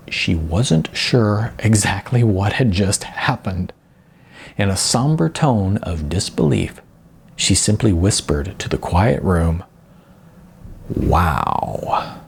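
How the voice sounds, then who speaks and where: A man narrating fiction as an audiobook reader, in a dramatic, expressive and emphatic way. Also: fairly low pitch, breathy edge.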